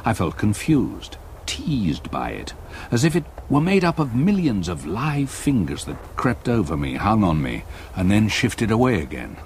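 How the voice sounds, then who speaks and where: An older man with an English accent playing a retired solicitor who narrates a haunting memory in a measured, literary radio-play manner. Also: lowish voice, huge pitch swings, darkish tone, a little hiss or hum, heavily acted. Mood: uneasy, haunted.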